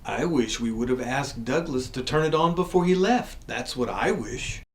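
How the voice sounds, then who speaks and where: A man's voice: medium pitch, animated, normal speed, natural voice. A man acting a pompous, long-suffering ghost in a comedy web series, formal and emphatic. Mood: weary, pompous.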